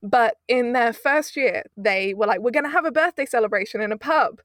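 A woman's voice: fairly high pitch, brisk, dry recording, clean studio sound. A woman in her twenties from the UK, chatting casually as an interview guest, rambling with frequent laughs. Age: 20s. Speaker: audio producer, guest.

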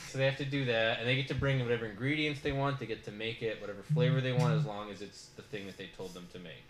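A man hosts a podcast and talks through a show in a loose, rambling way, padding his sentences with fillers. His voice sits at an ordinary pitch. The recording has a roomy echo around it. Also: quick pace, a little hiss or hum. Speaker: podcast host. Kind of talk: casual show review.

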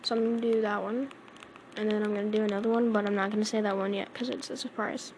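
A woman's voice: mid-range voice, flat delivery, fast, darkish tone, a little hiss or hum, rough recording. A woman chatting casually and rambling between song covers, her throat sore from singing the day before. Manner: chatty, rambling, lots of fillers. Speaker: amateur cover singer.

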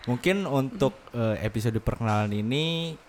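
A young man hosting a casual podcast, joking and laughing often, slowing down when he explains things. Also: medium pitch, a little mellow, slight background noise. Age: young adult. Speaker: podcast host.

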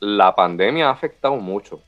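A man's voice: on the low side, lively intonation, a little fast, dull audio. A man, hosting a casual wrestling chat and steering it to the next topic.

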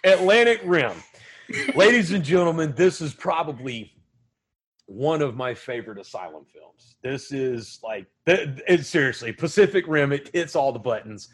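A man hosting a casual movie chat, excitable and quick to laugh. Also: fairly high pitch, husky. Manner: excitable, laughs often, bursts into shouting. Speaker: podcast host.

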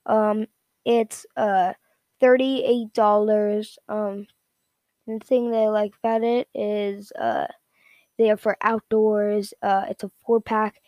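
A woman giving a casual, unscripted product rundown in a rambling, repetitive, halting way. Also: mid-range voice, flat delivery, mellow, no echo. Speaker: product reviewer.

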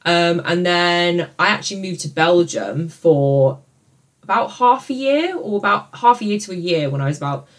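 A woman's voice: lowish voice, lively intonation, moderate pace. A young woman from China who grew up partly in the UK, a native Mandarin speaker with a British accent, co-hosting a casual conversational podcast. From born in northern China, grew up partly in the UK. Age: young adult. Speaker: podcast co-host.

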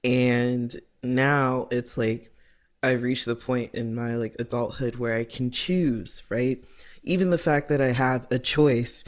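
A man's voice: mid-range voice, fairly even intonation, slow pace. A man co-hosting a podcast. He talks in an earnest, rambling way, fills his speech with "like" and asks himself rhetorical questions. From United States. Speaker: podcast co-host. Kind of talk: casual reflective chat.

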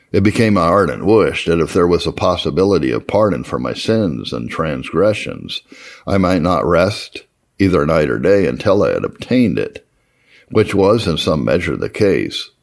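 Very deep, gravelly man narrating. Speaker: audiobook-style reader of historical religious readings. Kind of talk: scripted narration of an old first-person memoir.

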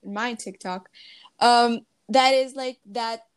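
A woman's voice: fairly high pitch, steady tone, slow, clean tone. A teenage girl from Brazil, not a native English speaker, co-hosting a casual podcast and chatting with lots of fillers and giggles. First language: Portuguese. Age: teens. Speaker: podcast co-host. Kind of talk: casual chat.

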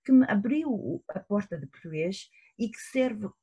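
A woman's voice: medium pitch, animated, moderate pace, warm tone, husky. A middle-aged woman from China, a professor, speaking Portuguese with a Chinese accent. Her first language is Chinese, and she answers thoughtfully, with frequent hesitations. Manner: thoughtful, hesitant, with many fillers. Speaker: professor, guest. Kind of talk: interview answers. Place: at home in Shanghai, remote call.

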